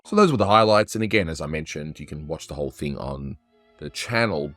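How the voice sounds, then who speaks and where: A man hosting a casual animation review, chatty and analytical, with frequent restarts. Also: deep voice. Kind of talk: casual cartoon review commentary.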